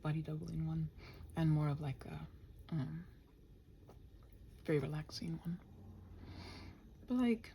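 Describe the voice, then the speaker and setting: Woman, playful and scattered ASMR creator, mellow with plenty of vocal fry, rambling casually and muttering while she works. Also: fairly high pitch, slight background noise. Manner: playful, chatty, talks to her computer. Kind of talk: casual unscripted body-doubling ramble.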